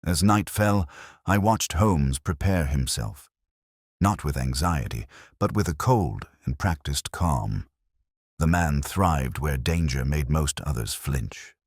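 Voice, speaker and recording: A man's voice: extremely low pitch, lively intonation, takes it slowly, breathy edge, no room sound, clean studio sound. A man reading a scripted mystery story as a dramatic narrator.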